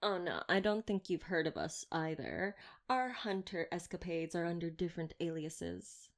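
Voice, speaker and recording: A woman's voice: lowish voice, lively intonation, moderate pace, natural voice. A woman playing a chatty, flirtatious, theatrical vampire hunter in an audio roleplay, wordy, teasing and mock-grand. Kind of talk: ASMR roleplay audio drama addressed to listener.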